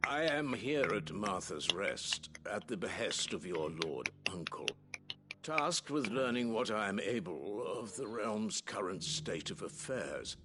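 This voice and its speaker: A man's voice: lowish voice, very animated, measured pace. An actor playing a formal, deferential male chief steward in a video game, speaking with courtly gravity. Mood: grave. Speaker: game character. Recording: game audio with clear music under.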